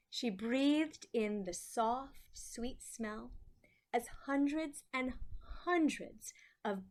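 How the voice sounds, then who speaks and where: A woman reading a children's story aloud with deliberate phrasing and dramatic emphasis. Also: on the high side, a little mellow.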